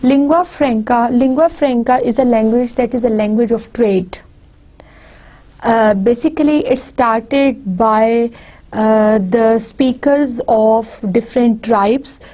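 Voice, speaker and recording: A woman from Pakistan with a Pakistani accent, a native Punjabi speaker, giving a university lecture deliberately, often repeating and restarting phrases. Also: on the high side, mellow. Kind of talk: recorded lecture.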